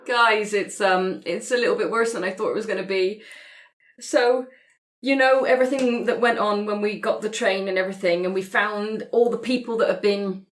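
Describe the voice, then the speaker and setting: A woman's voice: mid-range voice, steady tone, moderate pace. A woman playing a tabletop roleplaying game, talking conversationally in and out of character. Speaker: player in an actual-play podcast.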